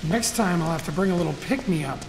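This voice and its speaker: An actor playing a good-natured, slightly anxious chubby young resistance member in a video game, mild and folksy. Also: male voice, sits high, expressive, fast, noisy background. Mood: weary. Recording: very echoey tunnel, music behind.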